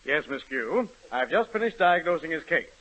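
A man's voice: fairly high pitch, sing-song, normal speed, noisy background. A man playing a pompous, mock-clinical psychiatrist in a 1930s radio comedy sketch. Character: pompous male psychiatrist, confident. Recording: muffled 1930s radio broadcast.

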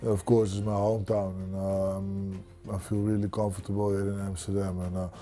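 A man in his thirties with a Dutch accent, a kickboxer speaking confidently in a pre-fight studio interview, halting with many fillers. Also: sits low, level tone, dark tone, soft background music. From Netherlands. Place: studio with branded backdrop. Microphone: lapel.

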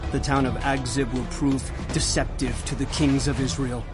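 A man's voice: mid-range voice, flat delivery, moderate pace, natural voice. A man playing an impassioned prophet solemnly warning of doom in a dramatized audio Bible, with music behind him. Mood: mournful. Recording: music under the voice.

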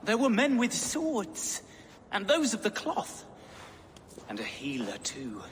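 An actor playing a deferential, worried Saxon household servant in a video game, respectful and halting. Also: male voice, high voice, huge pitch swings, full character voice. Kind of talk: video game cutscene acting. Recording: long hall reverb, faint noise.

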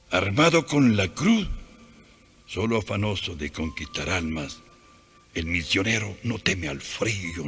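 A man playing a zealous, undaunted missionary priest in a radio drama, preaching grandly with an Italian accent and dramatic pauses. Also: low voice, sharp tone, exaggerated voice. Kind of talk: radio drama acting, declamatory sermon.

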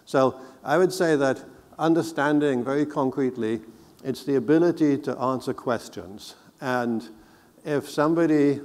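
A middle-aged man giving a conference keynote on a technical subject, explaining in a measured, deliberate way. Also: medium pitch, a little mellow. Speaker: keynote speaker. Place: large indoor conference hall, on stage. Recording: reverberant hall sound.

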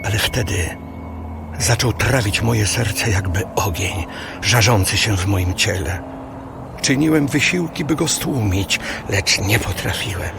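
Anguished prophet, audio Bible drama, heavy creak, slow lowish voice, music underneath. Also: male voice. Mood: anguished resolve. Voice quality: gravelly. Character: anguished male prophet lamenting to God. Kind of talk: dramatic scripture reading.

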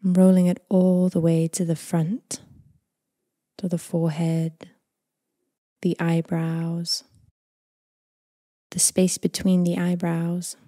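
Female meditation guide, breathy near-whisper, calm unhurried delivery, very dry close recording. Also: lowish voice, dry recording. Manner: gentle, unhurried, calming delivery with long phrases trailing off. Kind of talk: guided body scan meditation, slow and soothing.

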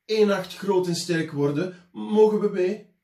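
A man voicing a group of excited small children who talk eagerly and pleadingly in high voices. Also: unhurried. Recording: roomy echo.

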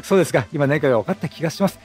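A man's voice: fairly high pitch, expressive, racing, a little mellow, faint music behind, close to an everyday voice. A man voicing an earnest, troubled traveling priest from a video game in polite, solemn phrases.

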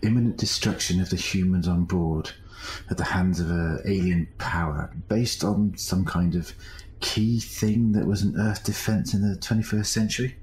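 A man's voice: sits low, normal speed, a little mellow, echoey room, a little hiss or hum, lightly acted, poor audio. A man playing an earnest soldier, a corporal, in an amateur audio drama. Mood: serious.

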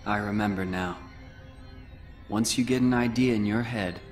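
An actor playing a solemn, self-sacrificing teenage boy hero in a video game, speaking gravely and in measured, earnest phrases. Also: fairly low pitch, faint music behind, close to an everyday voice. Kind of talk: video game cutscene voice acting.

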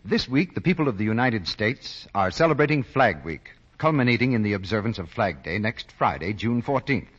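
A man's voice: fairly low pitch, animated, moderate pace, hardly any pauses, husky. A man reading scripted announcements as a 1940s radio announcer. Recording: dull vintage 1940 radio broadcast recording.

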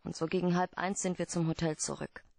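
A woman's voice: lowish voice, level tone, fairly quick, creaky, darkish tone, no echo. Guarded, troubled woman in a dry studio. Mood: guarded, explaining. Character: guarded, troubled female client pleading for help. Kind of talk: German radio play acting.

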